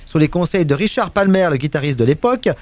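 A man's voice: a little high, lively intonation, fast, darkish tone, no echo. A man presenting a music radio segment in French, chatting casually with many fillers, restarts and asides to his co-host.

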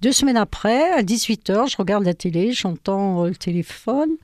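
A woman's voice: medium pitch, expressive, normal speed, a little mellow, no echo, clean studio sound. An older woman whose first language is Ukrainian, recounting her life as an interview guest, hesitant and full of fillers. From France. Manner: hesitant, many fillers, storytelling. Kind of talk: personal testimony in an interview.

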